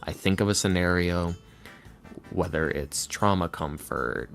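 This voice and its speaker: A man being interviewed on a casual podcast as a guest, speaking in a halting, reflective, self-deprecating way. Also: low-pitched, creaky, a bit bright, faint music behind. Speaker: interview guest, ASMR roleplay creator.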